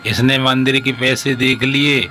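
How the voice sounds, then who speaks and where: Slow, bright-voiced pompous old guru played by a man. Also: medium pitch, level tone, a little hiss or hum. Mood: suspicious, commanding. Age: older. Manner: pompous, slow, instructing a disciple. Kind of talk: cartoon story dialogue.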